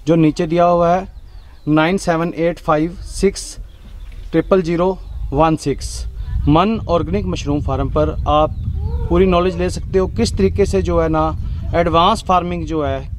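A man, talking to his viewers in an upbeat, chatty vlog style. His voice sits fairly high. He is recorded outdoors on a farm, with faint noise in the background. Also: fairly even intonation, a little slow. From northwest India. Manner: chatty, upbeat, keeps addressing viewers as guys. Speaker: travel vlogger host. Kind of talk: casual vlog narration.

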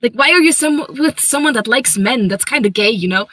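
A brash young cartoon hedgehog hero played by a woman, high and bright. The delivery is casual and halting, flippant in the way of an improvised fan dub, with faint music behind it. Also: lively intonation, no room sound. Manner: halting, casual, full of filler words.

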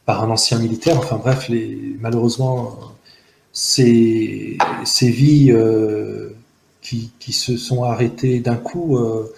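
A man's voice: mid-range voice, fairly even intonation, slow, creaky, darkish tone, slight background noise. Hesitant, earnest man with echoey sound. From Algeria. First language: Arabic. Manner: hesitant, many fillers and restarts, earnest and analytical. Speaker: interview guest. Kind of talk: reflective testimony in an interview. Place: outdoors in a park-like garden by buildings.

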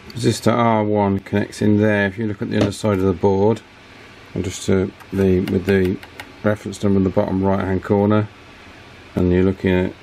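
A man giving a hands-on repair tutorial at a workbench in an informal, matter-of-fact way with hesitant pauses. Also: fairly low pitch, vocal fry, darkish tone, a little hiss or hum. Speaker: radio repair technician and presenter. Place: indoors at an electronics workbench.

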